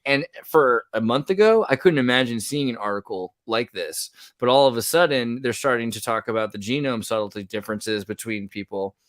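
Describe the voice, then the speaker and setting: A man hosting a podcast, talking casually in an animated, rambling way that often breaks off mid-sentence. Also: mid-range voice, a little fast, hardly any pauses, dry recording, clean studio sound. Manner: animated, rambling, self-interrupting. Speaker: podcast host. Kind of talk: casual conversational podcast chat.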